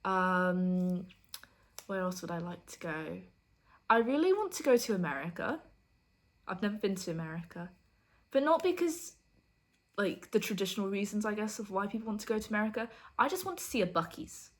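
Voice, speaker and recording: A woman's voice: medium pitch, animated, normal speed. A young woman from the UK, a university student vlogging in a casual get-to-know-me chat, chatty and giggly. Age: young adult. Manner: chatty, giggly, self-deprecating.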